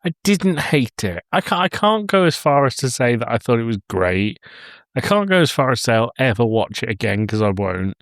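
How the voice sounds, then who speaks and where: A man's voice: mid-range voice, sing-song, brisk, no echo, studio quality. A man co-hosting a casual film review podcast in a jokey, blunt, emphatic way. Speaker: podcast co-host.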